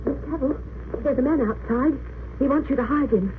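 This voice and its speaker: A woman playing an anxious, excitable young hospital assistant, fretful and pleading, in a 1930s radio drama. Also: sits high, moderate pace, lightly acted. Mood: worried, pleading. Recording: tinny, very noisy old radio recording.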